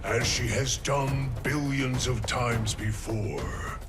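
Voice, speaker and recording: An actor playing the solemn, loyal servant of a goddess in a video game, speaking formally and with measured weight. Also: male voice, medium pitch, close to an everyday voice. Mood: solemn, commanding. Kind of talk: cinematic video game acting. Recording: dramatic music behind the voice.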